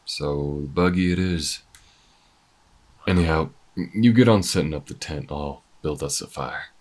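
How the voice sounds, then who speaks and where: A man playing an easygoing, weathered alien bounty hunter in an intimate audio roleplay, talking in a folksy, unhurried way with murmured asides. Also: a bass voice, darkish tone. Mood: casual, practical.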